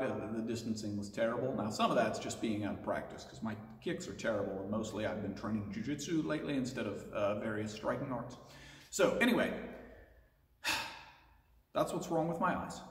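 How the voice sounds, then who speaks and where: A man's voice: mid-range voice, recorded from a distance. A man from West Tennessee with a Southern accent, casually answering viewer questions in a rambling vlog with dry, wry asides. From West Tennessee, USA. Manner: dry, wry asides delivered matter-of-factly. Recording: roomy reverberant sound from off-mic.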